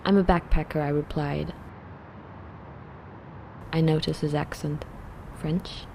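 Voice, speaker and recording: A woman narrates in a calm, measured, reflective way, reading a poetic script. Her voice sits low and has a dark, mellow tone. A faint hiss runs under it. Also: gravelly.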